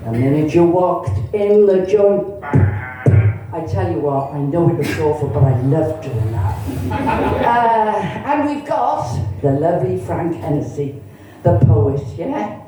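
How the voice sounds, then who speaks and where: A woman's voice: lowish voice, lively intonation, slow pace, music in the background. A woman in her sixties from Cardiff with a Cardiff Welsh accent, performing a bawdy, chatty stand-up set and teasing the crowd. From Cardiff, Wales. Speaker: stand-up comedian. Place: comedy club stage. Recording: echoey venue, mic heard from a distance.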